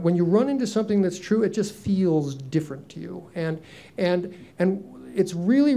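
A man's voice: sits high, dark tone. A man in his sixties answering on a conference panel, earnest and reflective, with frequent ums and restarts into a handheld mic. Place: conference room, seated on stage.

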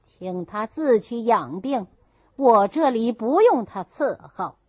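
Woman playing a haughty, scolding noblewoman, husky voice. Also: fairly high pitch, lively intonation, slow pace, no echo, heavily acted. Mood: sneering, reproachful. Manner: reproachful, sneering. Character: stern scolding noblewoman stepmother, haughty and sarcastic. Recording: muffled, dull audio.

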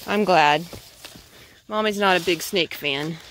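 A woman's voice: lowish voice, takes it slowly. A woman, a mother reading a picture book outdoors and chatting to her young child in a child-directed way. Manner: sing-song, child-directed. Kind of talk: informal read-aloud and chat with a young child. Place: outdoors in a forest. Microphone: handheld camera held by the speaker. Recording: clearly noisy outdoor handheld recording.

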